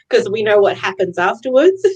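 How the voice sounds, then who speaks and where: Female book club co-host, casual and chatty, quick to giggle, mid-range voice. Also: medium pitch, a little mellow, husky, muffled recording. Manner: giggly, often laughing while talking.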